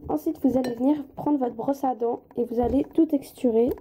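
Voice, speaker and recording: A woman's voice: high-pitched, fairly even intonation, fairly quick, mellow, husky. A woman with a slight head cold giving a casual hands-on craft tutorial, informal and hesitant, often apologizing as she goes.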